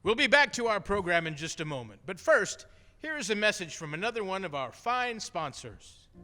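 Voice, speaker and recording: A man announces and narrates a radio play. His high voice moves around a lot, echoing in a hall over music. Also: big pitch swings, normal speed, pushed and bright.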